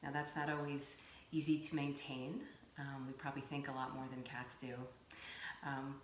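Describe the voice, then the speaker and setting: A woman teaching yoga nidra, giving gentle guided meditation instruction in a soothing, unhurried way with pauses. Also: extremely low pitch, level tone. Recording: roomy echo, faint background noise.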